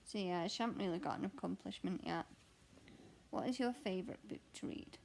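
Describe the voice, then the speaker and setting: A young woman with a croaky voice, chatting candidly and rambling while she stitches at home. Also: mid-range voice, normal speed, darkish tone, a little hiss or hum. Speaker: cross stitch vlogger and mother. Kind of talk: casual chat answering a question tag while stitching.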